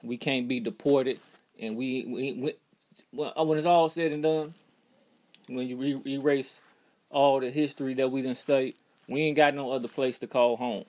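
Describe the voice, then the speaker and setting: A man's voice: mid-range voice, fairly even intonation, moderate pace, a little mellow. An American man delivering an emphatic, preachy monologue straight to his followers, constantly checking that they are following. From United States. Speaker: social media commentator. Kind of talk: direct-to-camera monologue.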